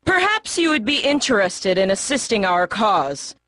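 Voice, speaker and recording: A woman voicing a fierce, bloodthirsty warrior of a savage army in a video game, husky, taunting and commanding. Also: medium pitch, sing-song, normal speed, sharp tone, dry recording, studio quality. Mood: calculating. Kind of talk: video game cutscene acting.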